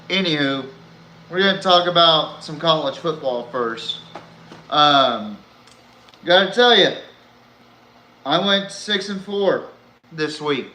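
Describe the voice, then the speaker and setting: A man's voice: fairly high pitch, bright, forceful tone. A man hosting a sports podcast, giving blunt, profane, opinionated commentary like an exasperated fan. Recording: roomy echo, faint background noise.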